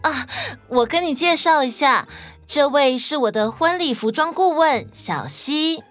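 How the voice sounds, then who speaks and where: 一位女性在儿童动物故事里扮演温柔、真诚又重情义的年轻新娘。. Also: female voice, sits high, expressive, unhurried, fairly bright tone. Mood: welcoming. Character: sweet, loyal young bride in animal fable. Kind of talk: children's audio story acting. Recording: music behind the voice.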